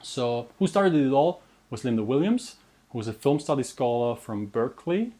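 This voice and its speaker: A man's voice: mid-range voice, big pitch swings, moderate pace, warm tone. Austrian man introducing his video series. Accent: Austrian German. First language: German. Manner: earnest, self-deprecating, with frequent restarts. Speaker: host and researcher. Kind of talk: scripted personal introduction to camera.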